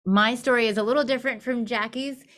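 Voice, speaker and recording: A woman's voice: fairly high pitch, fairly even intonation, fast talker, fairly bright tone. A middle-aged woman from Southern California, a law firm leader, telling her life story candidly with light humor. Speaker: guest, law firm managing partner. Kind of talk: personal storytelling in interview.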